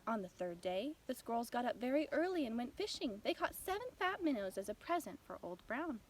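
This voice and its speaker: A woman reading a children's bedtime story as an expressive narrator. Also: a little high, a little fast, slight background noise, low-quality recording.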